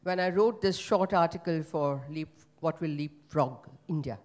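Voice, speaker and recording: A woman's voice: low-pitched, moderate pace, darkish tone. An older woman whose first language is Gujarati speaks into a handheld microphone in a conference hall, giving conversational panel remarks with many fillers. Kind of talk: panel remarks at a book launch.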